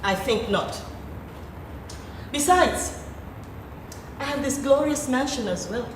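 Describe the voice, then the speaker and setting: A woman playing an imperious, scheming middle-aged wife and mother in a stage play, theatrical and deliberate. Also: sits high, lively intonation. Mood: smug, haughty. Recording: distant, echoey, noisy theatre recording.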